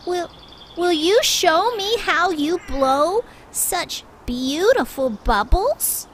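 A woman's voice: extremely high pitch, animated, takes it slowly, a bit bright, slight background noise, pitched above the performer's own voice, exaggerated voice. A woman voicing a female badger, a neighborhood bully from a children's storybook, in a theatrical, exaggerated way. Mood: contrite.

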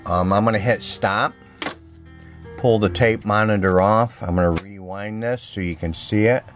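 Man, low voice, casual seller's demo, plainspoken and repetitive, handheld camera mic, clear music in background. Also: normal speed. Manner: plainspoken, repetitive sales patter. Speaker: seller demonstrating used audio gear. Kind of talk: casual product demo narration. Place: indoor room at home, music playing behind. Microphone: handheld camera mic, speaker behind camera.

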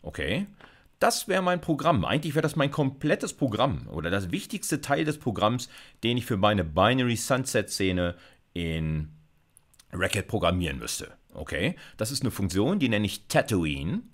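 A man's voice: on the low side, sing-song, normal speed. A man, explaining like a university lecturer in an informal, conversational way, often checking in with short tags. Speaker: university computer science lecturer.

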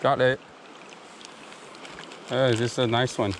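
Clear water noise surrounds this young man, who is outdoors by a river. His voice sits at a medium pitch. He talks casually and informally, like a vlogger showing viewers what he is doing, often in short exclamations. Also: flat delivery, slow. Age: young adult. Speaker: vlogger, fishing and cooking channel host. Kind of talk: casual outdoor vlog narration. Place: outdoors, wading in a wooded river. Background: stream water and ambient noise.

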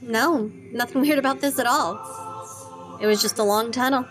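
A woman's voice: a little high, unhurried, a bit bright, soft background music, rough recording. An actress playing a young woman in a horror audio drama, a game but uneasy best friend with a casual, wry way of talking. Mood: nonchalant.

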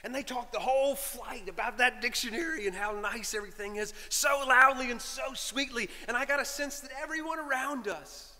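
A middle-aged man, a priest, telling a story in a sermon from the pulpit, animated and shifting between loud lines and hushed whispers. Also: very high-pitched, fairly quick, few pauses. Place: large stone church, speaking from a pulpit. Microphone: pulpit microphone. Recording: long church reverb.

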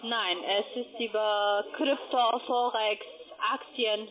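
A woman's voice: a little high, steady tone, slow pace. Woman on a tinny phone. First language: not German. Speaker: telemarketer. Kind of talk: sales call. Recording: tinny telephone line.

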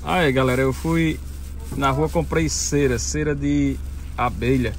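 A man's voice: medium pitch, a little slow, slight background noise. A man, vlogging outdoors in a chatty way and laughing often. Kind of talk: casual vlog narration. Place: outdoors, rural sertão and car.

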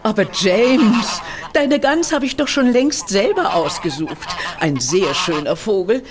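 An actress playing a hearty, no-nonsense sister who keeps geese, in an audio drama, bustling in manner. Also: female voice, mid-range voice, expressive, unhurried, background noise, close to an everyday voice. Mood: cheerful. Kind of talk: German audio drama acting. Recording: loud farmyard goose sounds behind voice.

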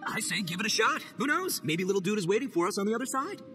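Brash slangy oni gang boss, swings wildly up and down, game music behind. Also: male voice, high-pitched, sing-song, fast. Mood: boisterous. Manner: boisterous, slangy. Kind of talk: video game voice acting.

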